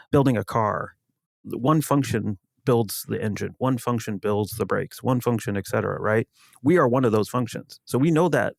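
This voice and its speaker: A man speaking as an interview guest, answering casually in a measured, explanatory way. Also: mid-range voice, vocal fry, no room sound. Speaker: podcast guest, security executive.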